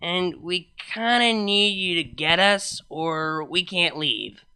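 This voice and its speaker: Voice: mid-range voice, animated, slow, pushed and bright, smooth, full character voice. A performer voicing a brash, drunken female party pony who talks in slangy, slurred exclamations. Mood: sheepish, annoyed.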